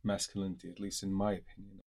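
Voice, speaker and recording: A man's voice: low-pitched, monotone, quick pace, darkish tone. A man from Kuwait talking reflectively in a casual panel discussion, explaining his views in long runs. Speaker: panel guest.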